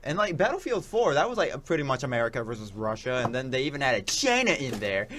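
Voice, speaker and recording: Lively-pitched man in casual gaming chat. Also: fast talker, a little hiss or hum. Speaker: returning guest co-host. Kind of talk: casual chat about video games. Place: small radio studio. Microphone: studio microphone, headphones on.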